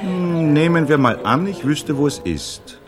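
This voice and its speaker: Sly pushy schemer, fake friendly, lively delivery, radio play with music playing loud. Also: male voice, fairly high pitch, unhurried. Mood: smug, needling. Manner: mock-friendly, insinuating. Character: smug, sly, pushy blackmailing acquaintance, male. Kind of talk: German radio play acting.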